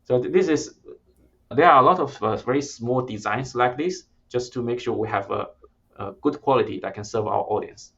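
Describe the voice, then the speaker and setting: A founder talking through a dull, lo-fi video call line. He is a man whose first language is not English, and his mid-pitched voice carries a gravelly fry. He explains things plainly, restarting words as he goes. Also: moderate pace, a bit bright. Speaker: startup founder. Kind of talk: interview conversation.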